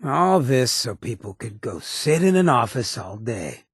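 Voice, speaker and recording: An actor voicing a cocky, roguish, streetwise ghoul companion in a video game, with a very raspy, gravelly voice and a sly, laid-back swagger. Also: male voice, medium pitch, big pitch swings, measured pace, no echo, studio quality, full character voice. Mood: wry musing.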